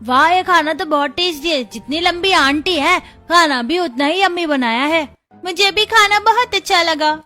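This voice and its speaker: A woman's voice: very high-pitched, moderate pace, pushed and bright, faint music behind. A woman voicing a small, cheeky, blunt boy in a cartoon family story. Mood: delighted.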